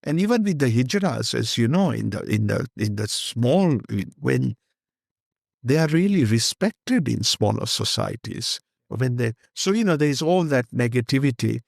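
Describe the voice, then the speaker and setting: Gravelly older man with Indian accent. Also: mid-range voice, expressive, normal speed, no echo, studio quality. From Kenya. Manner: rambling, warm, mixes Hindi phrases into English. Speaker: guest. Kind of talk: candid conversational interview.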